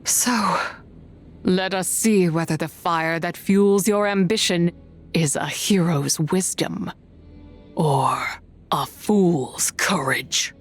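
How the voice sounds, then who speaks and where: A woman's voice: on the low side, takes it slowly, faint music behind. An actress playing a wrathful ancient immortal woman in a video game, solemn and imperious, with archaic, formal phrasing. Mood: ominous threat. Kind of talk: dramatic game voice acting.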